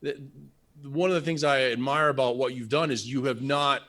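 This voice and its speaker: A man's voice: medium pitch, steady tone, moderate pace, a bit bright. A man co-hosting a live stream, talking enthusiastically and rambling with restarts about his coaching work, on a video call mic. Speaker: business coach, co-host. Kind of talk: casual live stream chat.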